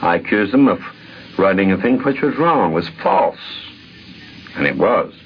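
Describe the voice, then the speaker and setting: Male interviewee, very low voice, emphatic dramatic storyteller, muffled vintage audio. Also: sing-song, measured pace. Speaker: interviewee recalling a friend. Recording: dull, noisy vintage radio recording.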